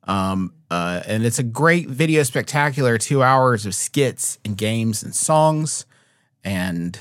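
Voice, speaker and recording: A man's voice: mid-range voice, animated, slow, clean tone, no room sound, studio quality. A man co-hosting a podcast in loose, joking chat, rambling and restarting his sentences. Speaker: podcast co-host. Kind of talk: casual comedic chat.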